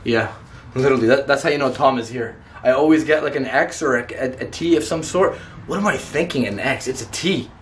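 A young man vlogging a staged paranormal challenge in a dark abandoned building at night, hoarse and panicked, talking in shaken, hushed bursts. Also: medium pitch, brisk, echoey room, slight background noise. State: hoarse, complaining of a sore throat.

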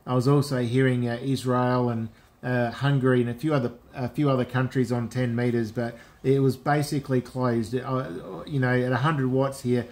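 An Australian man talks as an amateur radio operator in a casual, matey way. His voice sits at an average pitch, and he drops in radio call phrases as he goes. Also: fairly even intonation, moderate pace, a little mellow. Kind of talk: casual ham radio contact. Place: indoors at a radio set.

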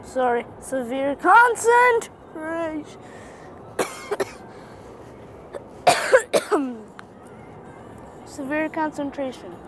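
A young woman casually commenting on a mobile game she plays, quiet and tired with sudden frustrated outbursts. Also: high-pitched, wildly swooping pitch, very slow, long pauses. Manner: sparse, tired chatter with bursts of frustrated outcries and humming. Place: inside a vehicle. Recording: phone screen recording with vehicle noise. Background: vehicle noise.

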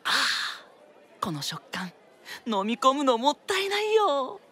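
A woman's voice: sits high, very animated, unhurried, a bit bright, exaggerated voice. A woman playing a cheerful, naive teenage boy shopkeeper in a radio drama, with polite, eager merchant patter. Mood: delighted. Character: cheerful naive teenage boy, young secondhand-shop owner. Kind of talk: anime-style radio drama acting.

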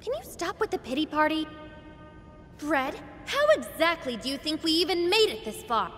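A bold young woman in a game cutscene. Her voice is very high and moves about a lot, blunt and earnest. It rings with long reverb over faint music. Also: big pitch swings, normal speed, fairly bright tone. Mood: exasperated. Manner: forthright, urgent, earnest. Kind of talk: video game voice acting.

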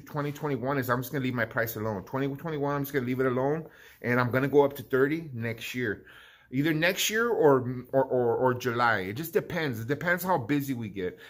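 A man's voice: mid-range voice, fairly bright tone. A man in his fifties with a Southern California accent, a barbershop owner talking casually to his phone camera in his small shop, rambling and repeating words. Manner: rambling, repeats words, addresses viewers as guys. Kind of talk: casual vlog talk to camera. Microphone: handheld phone at arm's length.

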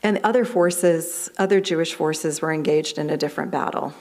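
A woman minister in her fifties with an American accent speaks at a lectern microphone in a fellowship hall. Her voice sits low for a woman, and her delivery is measured and explanatory as she walks through history in a sermon. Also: a little mellow.

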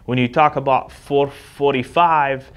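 A man's voice: mid-range voice, fairly even intonation, measured pace, clean tone. Man giving an emphatic sales training talk. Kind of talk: instructional talk with acted example dialogues. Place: indoor studio with backdrop.

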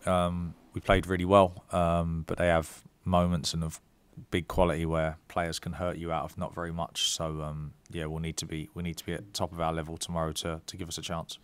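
A man in his thirties, a football manager at a press conference, giving measured answers with frequent ums and restarts into a desk mic. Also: sits low, completely flat delivery, smooth, no echo. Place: club press room.

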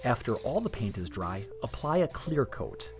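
A man reading a scripted DIY tutorial narration in a clear, step-by-step instructional way. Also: medium pitch, sing-song, normal speed.

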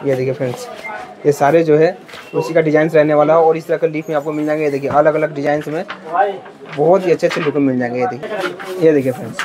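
A man hosts a shop-tour vlog in a market card shop. He talks quickly in a slightly raised voice. He keeps praising the goods and repeating prices for his viewers. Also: fairly high pitch, steady tone, a crowd in the background. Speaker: market vlogger.

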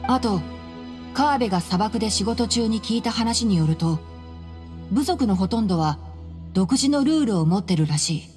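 A woman voicing a calm, clever young male scholar in an anime game, composed and articulate as he explains things. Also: medium pitch, moderate pace, long reverb tail, faint music behind, lightly acted. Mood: calm, informative.

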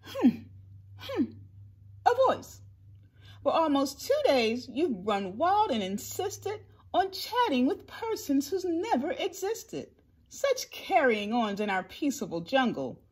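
A big, pompous storybook kangaroo, played by a woman, snappish and indignant. The voice is high and swooping as it scolds. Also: very animated, slow pace, darkish tone, full character voice. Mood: haughty, scolding.

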